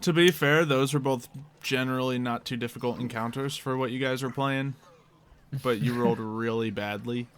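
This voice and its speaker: This is a man acting as game master and stream host. He talks casually in a middling pitch and jokes easily. There is a faint noise on his microphone. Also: steady tone, normal speed, a bit bright. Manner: jokey, laughs often. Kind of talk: casual chat wrapping up a tabletop game stream.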